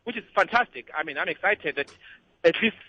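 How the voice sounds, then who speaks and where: A man with a South African accent calling a radio show, giving his opinion in a halting way and repeating words as he goes. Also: high-pitched, animated, husky. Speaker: phone-in caller. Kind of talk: conversational opinion. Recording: dull band-limited call-in line.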